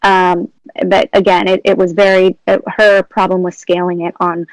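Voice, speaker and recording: Low, flat-voiced woman giving feedback. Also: normal speed, no echo, poor audio. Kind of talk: feedback on a pitch over a video call. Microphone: video call.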